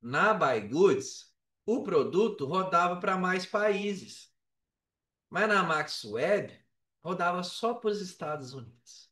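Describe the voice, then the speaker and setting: A Brazilian man with a high voice tells a story in loose, slangy talk, slipping into quoted dialogue as he goes. He sits back from the mic in an echoey room, so the sound is roomy and a little distant. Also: sing-song, slow, pushed and bright. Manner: colloquial, slangy, re-enacts dialogue. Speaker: podcast guest, affiliate marketer. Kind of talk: animated casual storytelling.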